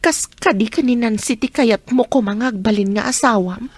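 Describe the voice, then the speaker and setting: A woman's voice: fairly high pitch, normal speed, breathy edge, dry recording. A woman acting a sorrowful, betrayed wife in a radio drama, plaintive and hesitant, with an Ilocano accent. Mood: meek, downcast. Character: Roxanne, sorrowful betrayed wife, meek and pleading.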